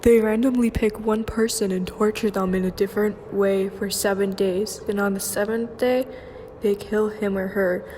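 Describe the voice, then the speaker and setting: A woman's voice: medium pitch, fairly even intonation, moderate pace, mellow, a little hiss or hum, lightly acted. A young woman playing a resigned captive who explains grim things in a matter-of-fact way, in an amateur radio drama. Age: young adult.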